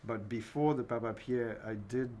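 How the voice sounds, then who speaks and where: A man's voice: medium pitch, normal speed, mellow, slight background noise. A man from Haiti, an interview guest, telling stories of his youth in a loose, rambling way full of fillers. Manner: rambling, many fillers and "you know". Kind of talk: casual interview, personal memories.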